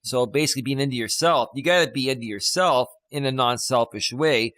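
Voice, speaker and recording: A man with a deep bass voice, a life coach hosting a casual live stream, reading passages aloud and riffing on them playfully, close on a studio mic. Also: brisk, fairly bright tone, no room sound. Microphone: studio dynamic microphone.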